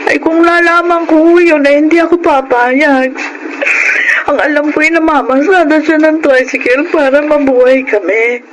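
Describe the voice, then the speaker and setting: A woman's voice: high voice, steady tone, normal speed, background noise, lightly acted. An actress as a plaintive, tearful Filipino mother. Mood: sorrowful. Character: sickly, tearful, pleading widowed Filipino mother. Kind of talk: Filipino radio drama acting.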